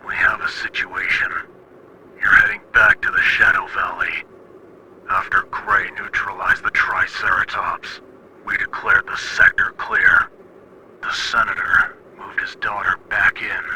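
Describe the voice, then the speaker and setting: Casting: male actor as cold military commander, lowish voice, slow and condescending, heavy radio noise, horror animation. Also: wildly swooping pitch. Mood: stern. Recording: very noisy radio transmission.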